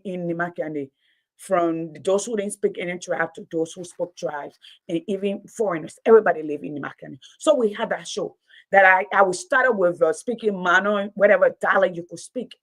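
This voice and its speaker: A woman's voice: medium pitch, moderate pace, low-quality recording. A woman with a Liberian accent, a teacher and former radio host, giving an emphatic, passionate talk that often repeats words for stress. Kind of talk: impassioned public talk.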